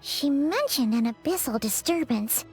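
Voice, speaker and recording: A woman voicing a small, excitable, chatty childlike fairy companion with a squeaky voice in a video game. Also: measured pace, faint music behind, rough recording. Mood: worried. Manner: refers to self in third person, exclaiming. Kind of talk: video game character voice acting.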